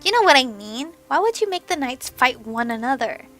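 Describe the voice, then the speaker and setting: A woman's voice: sits high, sing-song, a little fast, a bit bright, faint music behind. A woman voicing an earnest, troubled young male doctor from a visual novel, with a pleading delivery.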